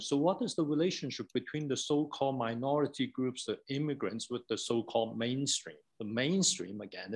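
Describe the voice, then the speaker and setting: A man with a mid-pitched voice talks through ideas as an academic researcher would. His delivery is informal and discursive. He moves haltingly, often leaning on "like" and checking in with "right?".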